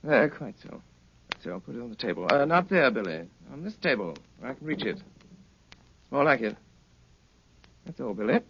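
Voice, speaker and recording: An actor playing a coolly confident, sardonic gentleman detective, urbane and commanding, in a 1930s radio drama. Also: medium pitch, very animated, moderate pace, long pauses. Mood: dry, assured. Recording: muffled, noisy 1930s radio recording.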